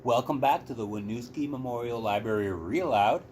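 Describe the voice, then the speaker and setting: A man reading a children's book aloud as a storytime host, with measured, deliberate phrasing and dramatic emphasis. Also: medium pitch, a little mellow, slight background noise.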